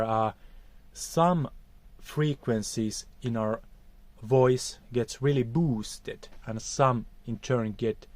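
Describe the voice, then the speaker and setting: A man's voice: mid-range voice, a little mellow, no room sound. A man with a Finnish accent teaching vocal technique, explaining acoustics in a careful, hesitant way. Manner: careful, hesitant, searching for words.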